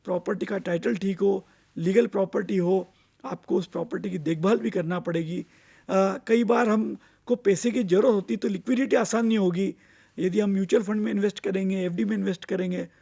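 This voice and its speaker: A man with an Indian accent giving an explanatory finance lecture, deliberate and instructive, repeating points for emphasis. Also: high voice, vocal fry, dark tone, slightly rough. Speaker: finance expert giving investor education. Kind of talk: explanatory lecture mixing Hindi and English.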